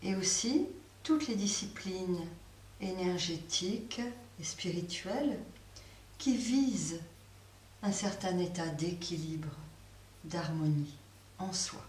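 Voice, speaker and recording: Woman yoga teacher, low-ish voice, very slow guided relaxation, distant roomy recording with faint hiss. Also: lively intonation. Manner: slow, soft, pausing guidance.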